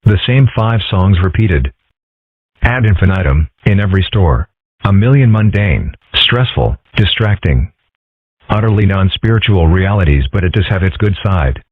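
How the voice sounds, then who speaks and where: A man voicing a calm, philosophical, dryly skeptical young fox boy in an amateur comic dub, with measured, deadpan delivery. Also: low voice, no echo. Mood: wry. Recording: muffled, tinny phone-like audio.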